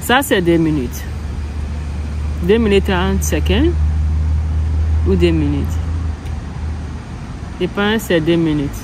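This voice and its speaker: A young woman from Ghana, an English speaker practicing French and speaking hesitantly, often correcting herself. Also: fairly low pitch. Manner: hesitant, halting, self-correcting. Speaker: French learner. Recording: steady background hum.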